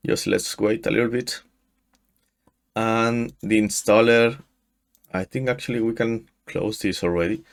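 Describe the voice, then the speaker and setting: A man in his thirties from Mexico, a native Spanish speaker with a Mexican accent, walking through a software install in a screen-share tutorial, often restarting his sentences. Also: lowish voice, steady tone, creaky. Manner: halting, frequent restarts and fillers. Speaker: tutorial host. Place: small room indoors. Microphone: headset.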